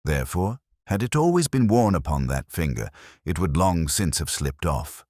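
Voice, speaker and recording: A man voicing a cool, incisive Victorian gentleman detective in an audiobook, lecturing formally and precisely. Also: sits low, big pitch swings, normal speed, no room sound, studio quality. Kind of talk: audiobook character dialogue.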